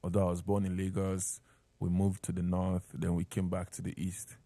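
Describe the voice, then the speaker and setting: A man's voice: low-pitched, completely flat delivery, moderate pace, gravelly, dark tone, no echo. A man with a Nigerian accent talking conversationally as an interview guest, sharing personal stories.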